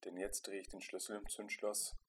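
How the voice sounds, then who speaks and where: A man narrating a first-person literary story in an introspective, dramatic way, with emphatic swells and quieter drops. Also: fairly low pitch, monotone, normal speed, slight background noise. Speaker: narrator reading a short story.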